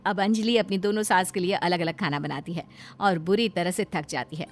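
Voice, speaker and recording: A woman's voice: on the low side, brisk, over music. A woman narrator reading a scripted moral story.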